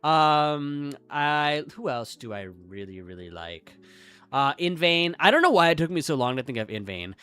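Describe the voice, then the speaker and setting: A man's voice: a little high, very animated, moderate pace, pushed and bright, clear, resonant tone, faint music behind. A young man with a Midwestern American accent, rambling through a casual live-stream chat with lots of filler words. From Midwestern United States. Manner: rambling, chatty, frequent "like" fillers, self-deprecating.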